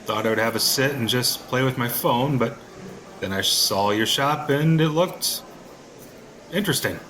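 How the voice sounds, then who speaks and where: A man's voice: mid-range voice, unhurried, fairly bright tone, slight background noise, natural voice, poor audio. A man with an American accent playing a skeptical, sardonic ordinary husband in an audio drama, hesitant but curious, with wry colloquial delivery. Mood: casual, wry. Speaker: actor in an audio play. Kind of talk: audio drama acting in casual American talk.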